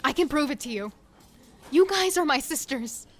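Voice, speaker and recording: Casting: a lost, insistent middle sister in horror drama, high voice, urgent and pleading. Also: female voice, lively intonation, moderate pace. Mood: earnest, pleading. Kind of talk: audio horror drama acting with narration.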